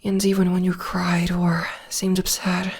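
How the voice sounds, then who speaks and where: Casting: loving witch girlfriend for comfort ASMR, played by a woman, breathy and low, slow, soothing delivery. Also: monotone. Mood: fond, reminiscing. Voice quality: soft, breathy, half-whispered. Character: gentle, loving witch girlfriend comforting her demon partner. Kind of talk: ASMR roleplay, intimate personal attention.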